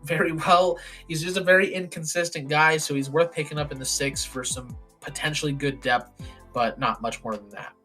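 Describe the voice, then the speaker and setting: A young man giving casual, opinionated football draft commentary, his voice hoarse and raspy. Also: a little high, a bit bright. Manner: opinionated, plain-spoken with frequent ums.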